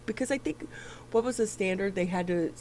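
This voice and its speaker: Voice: mid-range voice, brisk, a little mellow, a little hiss or hum, poor audio. A talk show host with a stuffy nose, reading the news and chatting casually with a co-host, with lots of fillers and restarts. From Michigan.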